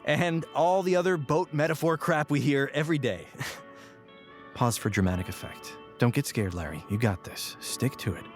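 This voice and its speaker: Aktor jako przejęty urzędnik o wysokawym głosie. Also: male voice, fairly high pitch, measured pace, pauses often, faint music behind, lightly acted. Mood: upbeat, then nervous. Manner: theatrical, self-coaching. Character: nervous, earnest male office worker rehearsing a speech. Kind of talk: speech in an audio drama.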